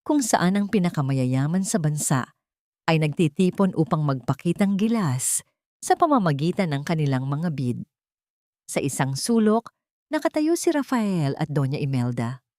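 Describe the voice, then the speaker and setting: Animated, low-voiced Filipino woman narrator. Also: dry recording, clean studio sound. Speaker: audiobook narrator. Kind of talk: dramatic scripted story narration.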